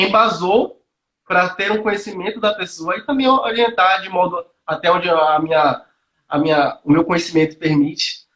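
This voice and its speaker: A man's voice: high-pitched, a bit bright. A young man from Salvador, Brazil, a nutrition student explaining his views earnestly on a video call, thinking aloud with frequent restarts and fillers. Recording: roomy echoey call audio.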